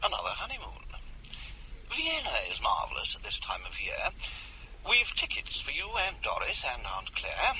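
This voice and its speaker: An actor playing a suave, formal intelligence official, heard over a telephone in a radio drama. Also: male voice, on the high side, huge pitch swings, normal speed, noisy background. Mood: suave, coaxing. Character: smooth, polite English government intelligence official.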